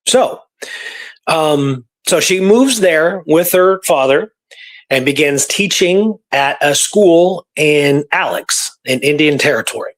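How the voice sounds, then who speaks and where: Higher-pitched man in casual history talk. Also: expressive, takes it slowly, low-quality recording. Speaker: podcast host.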